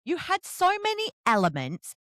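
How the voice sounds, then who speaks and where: A woman interviewer with a fairly high voice and very animated intonation. She chats casually and effusively, leaning on her words for emphasis. The sound is close and dry. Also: moderate pace, no room sound. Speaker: book interviewer and host. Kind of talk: casual book interview chat.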